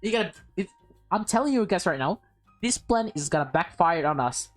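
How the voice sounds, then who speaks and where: A woman streamer reacting excitedly as she plays and reads a visual novel aloud. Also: lowish voice, a little fast. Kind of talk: live game reaction and read-aloud. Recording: game music behind the voice. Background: game music.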